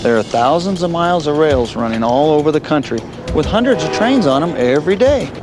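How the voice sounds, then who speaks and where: A man's voice: fairly high pitch, expressive, brisk, music in the background, close to an everyday voice. A man playing a friendly train engineer with an American accent, patiently explaining things to children in an educational film. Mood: patient, instructive.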